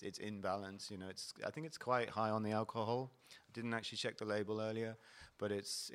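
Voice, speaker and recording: Middle-aged guy hosting a wine panel, smooth clear voice, pretty monotone, explaining the wines. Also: on the low side. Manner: explanatory, descriptive tasting talk. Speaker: panel host. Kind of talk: wine tasting presentation. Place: event hall at a wine fair.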